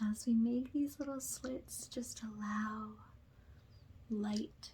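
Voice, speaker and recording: A soft-spoken woman who often drops into a whisper as she gently guides an ASMR spiritual cleansing. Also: fairly high pitch, a little hiss or hum, rough recording. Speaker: ASMR energy healer, host.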